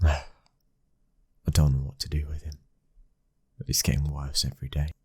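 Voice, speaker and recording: A man plays a brooding British mafia boss's son in an ASMR romance roleplay. His voice is extremely low and husky, kept close and intimate. He speaks as a protective, devoted husband, often dropping into a whisper. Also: no room sound, exaggerated voice. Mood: weary, grateful. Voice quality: very deep, breathy, husky. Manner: hushed intimate delivery slipping into whispers.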